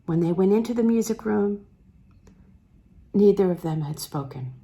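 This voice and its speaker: Mellow woman reading literary fiction. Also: mid-range voice. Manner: measured, expressive, with deliberate pauses. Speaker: audiobook reader. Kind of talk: literary narration read aloud.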